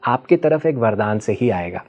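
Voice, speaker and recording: A man's voice: lowish voice, lively intonation, normal speed, highs cut off. A man as the interview guest, patiently explaining ideas and often checking in with the listener, mixing in English terms. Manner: patient teacherly explaining with frequent checking questions; mixes Hindi with English terms. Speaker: guest expert on ancestral healing.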